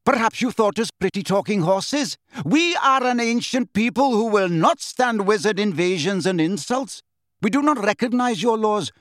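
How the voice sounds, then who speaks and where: Man playing male centaur, haughty, contemptuous, bellowing, pitched high, storybook fantasy. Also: very animated, drawn-out words, few pauses, sharp tone, no echo, studio quality, full character voice. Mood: haughty anger. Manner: bellowing, contemptuous, formal speech. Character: proud, furious grey male centaur, hard-faced and haughty.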